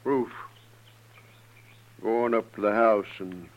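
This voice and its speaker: Blunt, bitter frontier rancher. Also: male voice, fairly low pitch, fairly even intonation, slow, a little hiss or hum. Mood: bitter, upset. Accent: American. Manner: rustic, blunt. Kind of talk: 1950s radio western acting. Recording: dull vintage radio recording.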